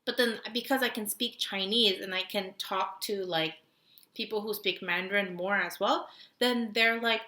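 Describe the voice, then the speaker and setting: A woman's voice: mid-range voice, normal speed, pushed and bright. Chatty Korean-born woman in an echoey room. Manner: chatty storytelling with frequent laughs and "like" fillers. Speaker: podcast co-host. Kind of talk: casual chat.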